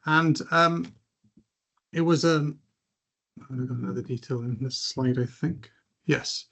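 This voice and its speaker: A man's voice: medium pitch, slow pace, vocal fry, a bit bright, breathy edge. A middle-aged man giving an academic seminar talk, hesitant, with many ums and repeated words. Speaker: media researcher and lecturer.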